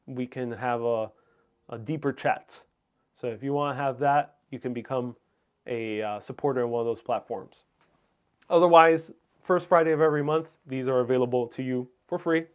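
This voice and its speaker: A man's voice: medium pitch, clear, resonant tone. A man whose first language is Spanish, hosting a livestream in a casual, musing, somewhat hesitant way as he reads and answers viewer comments. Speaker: livestream host.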